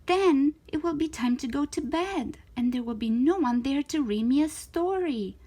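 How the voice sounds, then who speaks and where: A woman reading a children's story aloud in an expressive, theatrical way, with emphatic stretched words. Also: sits high, moderate pace. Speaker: storytime reader of children's books.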